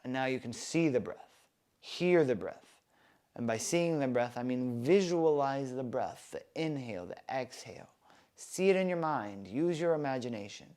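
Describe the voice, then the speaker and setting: A man softly leading a guided breathing meditation, with calm, soothing instructions. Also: medium pitch. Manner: slow, soft, calm and soothing guidance.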